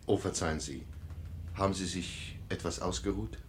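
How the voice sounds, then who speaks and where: Deferential waiter, male actor, low voice, polite and modest, 1950s radio play with faint noise. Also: normal speed, lightly acted. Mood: courteous, apologetic. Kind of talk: 1950s German radio drama acting. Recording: noisy old radio recording, room ambience.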